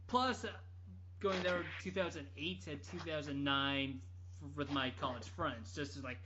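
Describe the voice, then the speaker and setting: A man telling anecdotes casually on a podcast, in an animated, rambling, jokey way. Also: a little high, unhurried, background noise. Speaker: podcast storyteller. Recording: dull lo-fi podcast audio.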